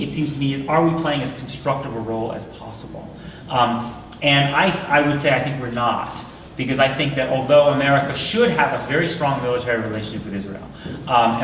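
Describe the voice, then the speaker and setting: A man answering audience questions at a university talk, speaking in a thoughtful, measured way with fillers and restarts. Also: mid-range voice, a little hiss or hum. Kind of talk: lecture Q&A answers. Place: university lecture room. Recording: echoey room, voice from far off.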